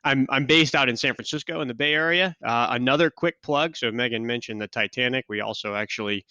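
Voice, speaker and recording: Bright, crisp-voiced man podcast guest. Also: mid-range voice, brisk, no echo, dull audio. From Kansas City, USA. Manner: joking, relaxed, many fillers. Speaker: guest, insurance risk specialist. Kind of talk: podcast interview.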